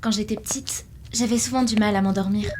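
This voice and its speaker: A woman's voice: mid-range voice, fairly even intonation, fairly quick, natural voice. A woman playing an earnest, hesitant young girl in a science-fiction audio drama. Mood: earnest, confiding. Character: earnest young girl travelling with a space captain. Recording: clear background noise.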